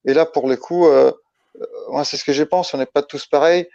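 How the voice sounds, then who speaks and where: A man from Italy speaking as a guest in a casual interview, halting and restarting his phrases as he explains. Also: fairly high pitch, steady tone, creaky, warm tone. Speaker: interview guest, ultra-trail runner. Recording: dull lo-fi, roomy echo.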